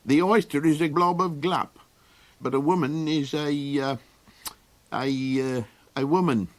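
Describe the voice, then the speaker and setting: A man's voice: on the high side, fairly even intonation, measured pace, natural voice. A man voicing a scatterbrained old male Golox creature who rambles and hesitates in an audiobook. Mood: boastful. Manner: rambling, hesitant with many ums. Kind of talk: audiobook character voice.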